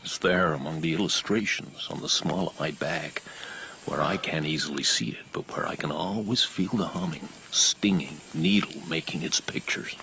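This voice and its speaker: Fatalistic ex-carnival man: extremely low voice, terse brooding storyteller, hushed and weary, faint ambience. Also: moderate pace, a little hiss or hum, heavily acted, rough recording. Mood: haunted recollection. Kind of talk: radio drama storytelling monologue.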